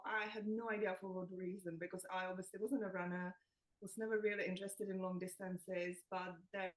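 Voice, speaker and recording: A woman's voice: on the low side, low-quality recording. Chatty woman from the Czech Republic. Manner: chatty, self-deprecating, laughs often. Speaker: nutritionist guest. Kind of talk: casual interview chat.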